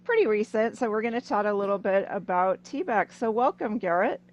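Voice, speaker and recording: A woman's voice: mid-range voice, expressive, a little fast, muffled recording. A woman hosting a casual interview over a video call, chatty and full of "I mean" and "you know". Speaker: podcast host.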